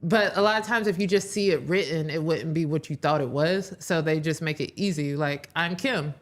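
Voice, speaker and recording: A podcast guest who speaks English as a second language, talking in a casual, middle-pitched voice. They explain ideas patiently, one step at a time. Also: moderate pace. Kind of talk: casual chat.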